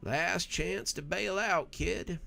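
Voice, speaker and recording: A man's voice: high voice, takes it slowly, vocal fry, pushed and bright, pitched above the performer's own voice, exaggerated voice. A man voicing a tough, blunt male character in a horror story, terse and matter-of-fact. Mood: grim.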